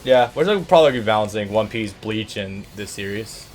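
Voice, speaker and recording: Lower-voiced man in casual gaming chat. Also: expressive, brisk, darkish tone, a little hiss or hum. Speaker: host of a gaming let's play. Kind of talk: casual gameplay commentary chat.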